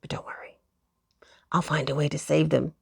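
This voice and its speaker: A woman's voice: low voice, little pitch movement, moderate pace, vocal fry, darkish tone, husky, no echo, clean studio sound. A woman narrating children's stories expressively, in a soothing manner that speaks straight to young listeners. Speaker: storyteller.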